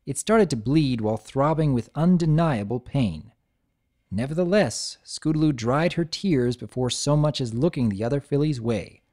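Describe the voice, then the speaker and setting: A woman narrating a story in an expressive dramatic reading. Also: a bass voice, moderate pace.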